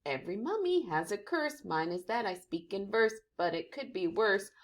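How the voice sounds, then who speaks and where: A woman's voice: mid-range voice, expressive, moderate pace, natural voice. A woman voicing a kindly old female mummy teacher who speaks in rhyme, in a sing-song way. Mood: playful. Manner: sing-song rhyming delivery.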